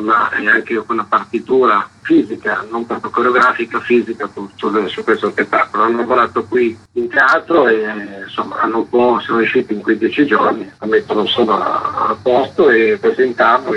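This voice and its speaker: A man with a husky voice, a theatre's artistic director, explaining a programme in an interview. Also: on the low side, sharp tone, slight background noise. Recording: dull lo-fi radio interview audio.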